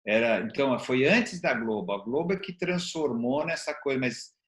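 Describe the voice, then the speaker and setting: An older man with a Brazilian accent and a medium-pitched voice hosts an interview. He speaks casually and conversationally, as an interviewer chatting with a guest. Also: animated, normal speed. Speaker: interviewer, host.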